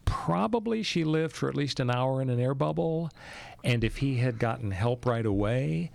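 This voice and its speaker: Man, American accent, talk show host, opinionated news commentary, emphatic delivery, medium pitch, dry close sound. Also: lively intonation, moderate pace, creaky, a little mellow, no room sound.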